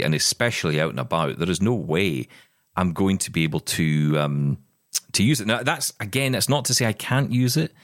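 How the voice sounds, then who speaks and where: Deep-voiced man, rambling podcast chat. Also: fairly bright tone, no room sound, studio quality. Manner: rambling, repeats words when starting sentences. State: blind, describing progressive vision loss. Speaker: podcast co-host. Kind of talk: casual chat.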